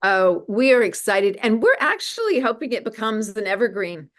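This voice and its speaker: Woman guest on an interview show, mid-pitched, earnest and keen, pitching a project. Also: big pitch swings, normal speed, fairly bright tone. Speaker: guest, media ministry representative. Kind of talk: promotional interview talk.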